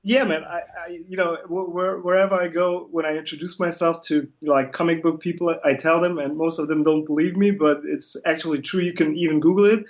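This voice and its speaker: A man's voice: fairly high pitch, fairly even intonation, fairly quick, few pauses. Man over a muffled call. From Germany. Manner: self-deprecating, joking, with restarts. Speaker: guest comic book illustrator. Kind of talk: casual interview chat. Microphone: video call. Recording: muffled video call audio.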